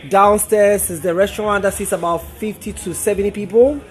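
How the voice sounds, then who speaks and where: A young man from Ghana speaks as a restaurant event coordinator, his voice pitched well above most men's. He explains things in a friendly way and repeats words now and then, with faint music in the room behind him. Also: fairly even intonation, brisk. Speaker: event coordinator and guest services manager. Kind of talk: interview guest. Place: upstairs lounge of a restaurant.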